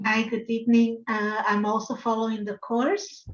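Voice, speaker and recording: Brazil-born woman, polite quick intro, roomy muffled call sound. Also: a little high, flat delivery. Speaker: student, tattooist.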